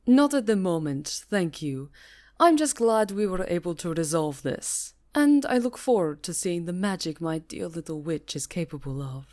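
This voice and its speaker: A woman's voice: on the low side, sing-song, normal speed, a little mellow. A woman voicing a haughty, menacing vampire countess with a silky, imperious delivery in a fantasy roleplay. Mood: smug, gracious. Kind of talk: fantasy ASMR roleplay acting.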